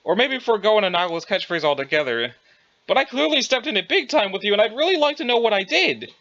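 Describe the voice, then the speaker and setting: Bumbling, self-absorbed young male adventurer played by a man, wordy and folksy, bright animated delivery for audio drama. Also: sits high, fast talker, highs cut off, exaggerated voice. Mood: sheepish, rambling. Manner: wordy, old-fashioned folksy exclamations, bumbling. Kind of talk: dramatized dialogue reading.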